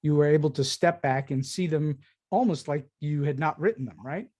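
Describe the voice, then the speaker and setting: A man's voice: a little high, normal speed, dark tone. A man hosting a conversational interview, probing and reflective, restating what he hears as he asks questions. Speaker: podcast host, interviewer.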